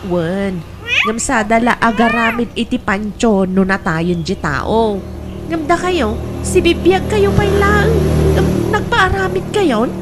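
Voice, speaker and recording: A woman's voice: fairly high pitch, expressive, takes it slowly, over loud music. A woman playing a fretful, wistful sister in a radio drama, speaking expressively with a Filipino accent. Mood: concerned. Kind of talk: Ilocano radio drama acting.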